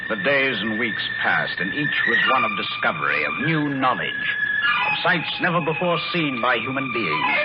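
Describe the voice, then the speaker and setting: Male spaceship officer and narrator, played by an actor, commanding and earnest, vintage radio drama, dull sound, loud music bed. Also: medium pitch, lightly acted. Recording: muffled 1940s radio recording, loud music.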